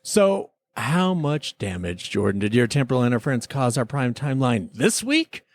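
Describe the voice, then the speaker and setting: A male podcast host chats casually and jokingly with a very animated delivery. His voice sits at a middle pitch, recorded dry and close. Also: moderate pace, no echo. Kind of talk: casual comedic review chat.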